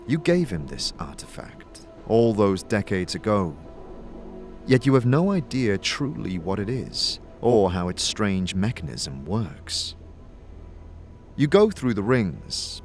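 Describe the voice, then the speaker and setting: A man reading scripted, dramatic fantasy narration in a measured, solemn storytelling manner. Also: fairly low pitch. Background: music.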